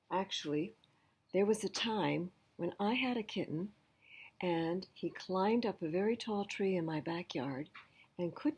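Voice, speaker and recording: Lady doing storytime for little kids, slightly mellow voice thats a bit husky, explains stuff simply. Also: on the low side. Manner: explains things simply to young listeners. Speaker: children's library storyteller. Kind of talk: read-aloud picture book storytelling with asides to children.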